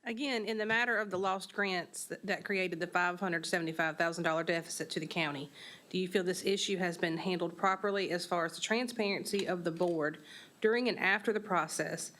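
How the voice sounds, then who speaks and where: Low-voiced woman reading a reporter's question. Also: fairly quick, a bit bright. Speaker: newspaper reporter asking questions.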